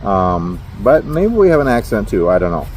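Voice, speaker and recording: Middle-aged minnesota guy rambling in his truck, lowish voice, bit of road hum behind. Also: big pitch swings, moderate pace, darkish tone, low-quality recording. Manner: rambling, self-deprecating, chuckles often. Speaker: truck driver vlogger. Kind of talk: casual talking-to-camera vlog. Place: parked truck at a highway rest area.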